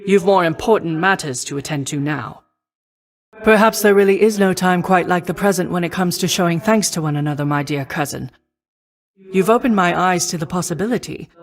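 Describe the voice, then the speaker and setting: A woman voicing a noble, composed goddess of wisdom and war in a video game, with formal, measured and stately diction. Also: lowish voice, natural voice. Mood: gracious, restrained. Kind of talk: scripted video game voice acting.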